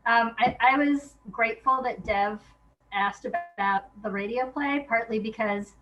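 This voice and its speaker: A woman's voice: a little high, unhurried, lo-fi sound, faint music behind. A woman guest chatting casually in an interview, thinking aloud in an informal, hesitant way. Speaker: guest playwright.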